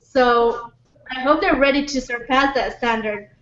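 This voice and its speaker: A woman's voice: sits high, unhurried, fairly bright tone. A woman talking as a panelist in a casual discussion, hesitant and often repeating her words. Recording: roomy, dull lo-fi podcast audio.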